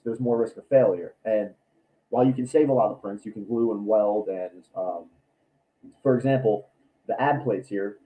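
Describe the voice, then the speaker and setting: Raspy man, dark mellow voice, rambling casual livestream host. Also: medium pitch, low-quality recording. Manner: rambling, many ums and restarts. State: raspy from allergies. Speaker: cosplay maker and YouTuber.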